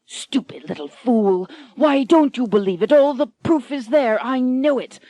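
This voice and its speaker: A woman's voice: a little high, measured pace, dark tone, dry recording, pitched above the performer's own voice. A woman voicing a brutal, jealous duke in a melodramatic story, with a snarling, contemptuous manner. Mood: furious. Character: brutal jealous male duke, domineering husband.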